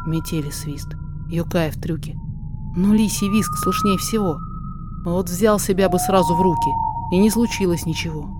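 A woman narrator reading a poetic story aloud in expressive, measured, dramatic verse. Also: fairly low pitch, music in the background.

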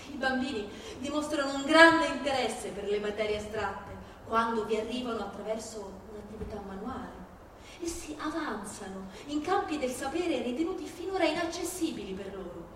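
A woman giving a dramatic stage reading of literary texts, with a measured, declamatory delivery and deliberate pauses. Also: high voice, a bit bright, a little hiss or hum. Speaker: stage performer. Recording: very echoey hall from far off, music behind. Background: live music.

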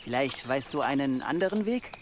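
An actor in a German radio drama playing a sly, menacing rogue with a teasing, insinuating manner and false friendliness. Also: male voice, medium pitch, animated, fairly quick, background noise, natural voice. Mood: sly probing. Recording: clear outdoor ambience with birds.

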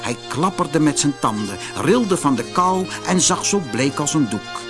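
Slow, animated male storyteller. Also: a little high, over music. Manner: expressive, measured storytelling. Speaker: audiobook narrator. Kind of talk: scripted fairy tale narration for a children's audiobook.

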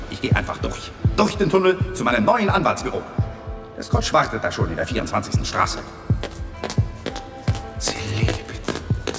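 An actor playing a cornered, defensive young lawyer in a radio drama, agitated and hesitant, at times breathy. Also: male voice, medium pitch. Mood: distraught. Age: young adult. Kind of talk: 1980s German radio drama acting.

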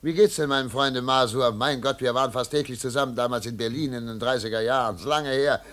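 An actor playing a brisk, self-assured middle-aged American lawyer who narrates and talks in a German radio play, with a theatrical, pondering manner. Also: male voice, medium pitch, fairly even intonation, a bit bright, close to an everyday voice. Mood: curious, reminiscing. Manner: theatrical, pondering aloud, repeating words for emphasis. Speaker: narrator and lead character.

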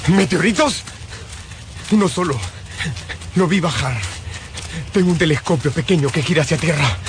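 An actor playing an anxious, obsessive astronomer in a radio drama, insistent and emphatic, speaking in clipped, repeated phrases. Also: male voice, on the high side, expressive, moderate pace, background noise, lightly acted. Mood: excited. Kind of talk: Spanish-language radio drama acting.

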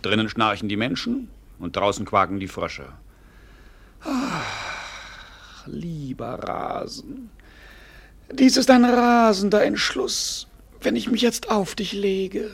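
An actor playing a cynical, earthy court jester in a classic radio play, husky and drolly theatrical. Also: male voice, high voice, sing-song, slow, full character voice. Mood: wry, droll. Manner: droll, wry theatrical musing.

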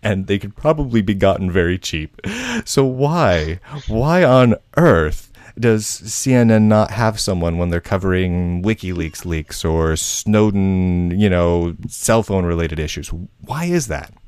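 A man's voice: low-pitched, animated, unhurried, a little mellow, clean tone, dry recording. A man hosting a casual roundtable, asking questions animatedly and repeating words as he goes. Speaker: podcast host.

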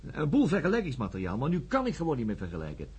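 A man's voice: fairly high pitch, huge pitch swings, very fast, darkish tone, husky, exaggerated voice. A man playing an earnest, self-doubting psychiatrist in a radio drama, reflective and at times hesitant. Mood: dejected, troubled. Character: earnest, self-doubting younger psychiatrist, former student of a professor. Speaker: narrator and lead character. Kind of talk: radio drama acting with first-person narration.

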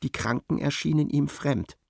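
A man narrating a literary radio play in a measured, precise and dramatic way. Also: on the high side, vocal fry, slightly rough, no echo, studio quality.

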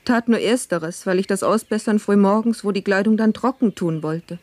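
An actress plays a put-upon, sorrowful housemaid in a radio drama. Her voice is mellow and soft-edged, at a medium female pitch. She speaks earnestly and plaintively, in careful old-fashioned phrases. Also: moderate pace, no room sound, natural voice. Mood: aggrieved. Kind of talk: German radio play acting.

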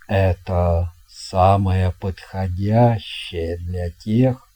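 A man voicing a big, fat, jovial ship's captain in an audio fairy tale, speaking in a grand, courteous, drawn-out way. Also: low-pitched, mellow, husky, voice pushed down, exaggerated voice.

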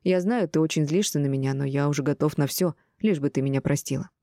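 A woman's voice: low-pitched, quick pace, mellow, dry recording, studio quality. A woman reading the part of a stubborn, insistent ex-husband, an indignant male doctor, in an audiobook. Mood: insistent pleading.

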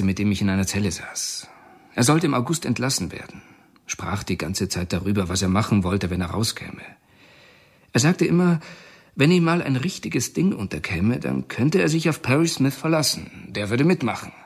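Prisoner, radio drama, husky voice, lively pitch, uneasy, confessional. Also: male voice. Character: Floyd Wells, male prisoner and informant.

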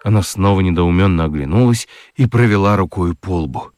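A man narrating a children's radio play as a dramatic storyteller, with expressive, deliberate literary phrasing. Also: low voice, no echo, clean studio sound. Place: dry studio.

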